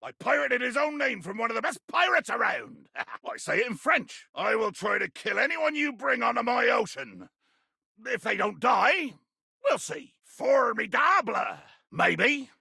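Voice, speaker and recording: Raspy, bombastic pirate captain. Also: male voice, very high voice, very animated, unhurried, sharp tone, dry recording, clean studio sound, heavily acted. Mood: fierce, ranting. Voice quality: gravelly, rasping growl. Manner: ranting, theatrical, archaic pirate speech. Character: bombastic, bloodthirsty pirate captain, grown man, theatrical and irascible. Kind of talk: video game character acting.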